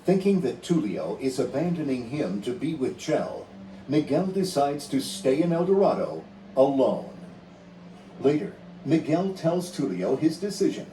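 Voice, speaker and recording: A man narrating a scripted storybook read-along in a measured, dramatic storytelling manner. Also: a little high, big pitch swings, dark tone, reverberant, far from the mic, slight background noise, rough recording.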